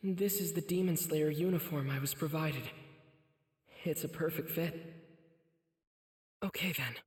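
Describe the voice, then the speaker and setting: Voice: mid-range voice, a little slow, husky, dry recording. An actor voicing an earnest, polite teenage boy swordsman in an anime video game dub. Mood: polite, curious.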